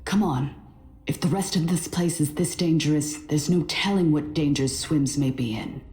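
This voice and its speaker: A woman's voice: deep voice, normal speed, a little mellow, natural voice. A woman playing a wary, earnest adventuring companion in scripted fantasy game dialogue. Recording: echoey, faint music behind.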